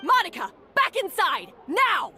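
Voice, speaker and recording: A woman's voice: extremely high pitch, huge pitch swings, a little slow, bright, forceful tone, a little hiss or hum, heavily acted. A woman voicing a haughty, harsh young head of a hotel kitchen in a video game, scornful and commanding.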